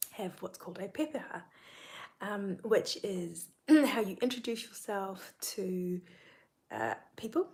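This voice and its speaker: Young NZ woman, low gravelly voice, soft half-whispered live-stream chat. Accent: New Zealand. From New Zealand. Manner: soft, often breathy and half-whispered, with many ums. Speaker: spiritual content creator. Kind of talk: casual live-stream talk.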